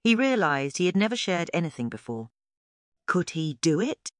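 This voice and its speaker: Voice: mid-range voice, very animated, normal speed, dry recording, clean studio sound. A narrator reading a scripted children's story aloud as a storyteller.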